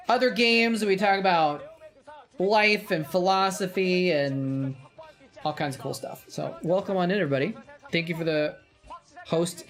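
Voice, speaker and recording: Streamer guy with a kinda high voice, rambly casual chat, gets hyped and laughs a lot. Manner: chatty, rambling, gets excited and laughs at the action. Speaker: streamer hosting a game watch party.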